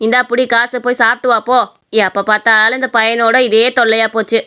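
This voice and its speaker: A woman's voice: a little high, flat delivery, brisk, sharp tone, clean tone. A woman voicing a kindly, motherly idli seller who soothes and chides, in a story. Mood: exasperated. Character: kindly motherly idli seller, gently scolding her son.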